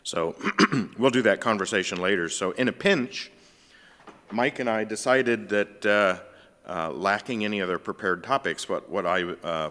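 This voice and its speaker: Very deep, bright-edged hoarse male co-host, rambling with fillers, long church-hall reverb. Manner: rambling, with many fillers and restarts. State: scratchy, hoarse voice after an illness. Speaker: co-host of a science discussion. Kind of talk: casual hosted discussion.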